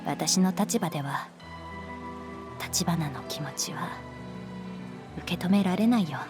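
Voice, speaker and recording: A woman playing a caring, composed female homeroom teacher in a Japanese voice drama, speaking in a calm, measured and gentle way. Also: on the low side, music in the background. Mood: resigned, sincere.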